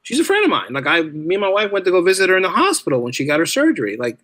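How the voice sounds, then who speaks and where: A man's voice: sits high, huge pitch swings, racing, pushed and bright, no room sound. A middle-aged man telling stories casually as a podcast guest, chatty and informal, repeating phrases for emphasis. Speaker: podcast guest, teacher and writer. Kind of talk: casual conversational storytelling.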